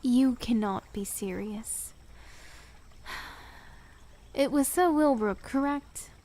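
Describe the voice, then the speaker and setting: A woman's voice: a little high, slow, warm tone, a little hiss or hum. A woman playing a loving, proud princess of nearly twenty in a fantasy audio drama, with formal old-world diction. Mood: haughty, reproving. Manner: formal, archaic storybook diction.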